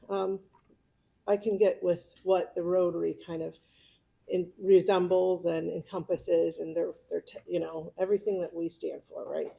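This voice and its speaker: A middle-aged American woman speaks informally, as a club member giving a personal talk. Her voice has a noticeable creak at an ordinary pitch, and her manner is chatty. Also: warm tone. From California, United States. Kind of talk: informal autobiographical speech.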